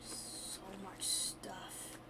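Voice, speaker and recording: A woman's voice: extremely slow, heavy background noise. A woman giving casual live gameplay commentary as a streamer, often muttering and thinking aloud. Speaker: game streamer.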